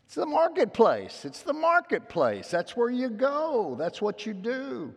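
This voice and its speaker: A man preaching from the pulpit with a loud voice and a folksy, storytelling way of talking. He drops into quiet, confiding asides and then climbs back to ringing, emphatic lines. The long reverb of a big sanctuary trails after every phrase. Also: extremely high pitch, huge pitch swings, unhurried, creaky, a little hiss or hum. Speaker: pastor. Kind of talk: sermon. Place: church sanctuary.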